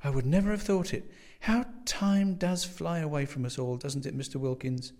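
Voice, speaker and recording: A man's voice: a little high, normal speed, darkish tone, pitched above the performer's own voice, lightly acted. A man voicing a genteel older landlady in a story, gushing, absent-minded and sweetly fussy. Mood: apologetic, dainty. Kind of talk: storybook character voice.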